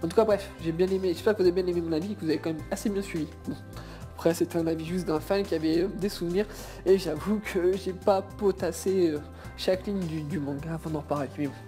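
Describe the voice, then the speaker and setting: A woman with a mellow, slightly low voice gives an off-the-cuff fan opinion. She rambles emphatically in colloquial language over soft background music. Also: fairly even intonation, fast talker. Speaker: guest debater, anime fan. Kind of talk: casual improvised opinion monologue.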